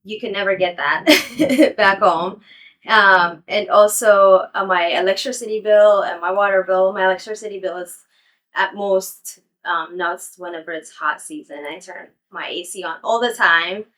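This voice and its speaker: A young woman with a middle-pitched voice speaks in a relaxed, casual way, filling pauses with ums and light laughs. She is being interviewed while walking around her building, and the mic sometimes sits farther from her. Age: young adult. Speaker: interviewee, English teacher. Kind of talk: casual interview and home tour.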